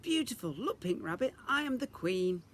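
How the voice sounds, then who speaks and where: A man's voice: high voice, very animated, normal speed, a bit bright, a little hiss or hum, voice pushed up, full character voice. A man voicing an earnest, sensitive little half-vampire fairy girl in a children's storybook, plaintive and defiant. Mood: proud.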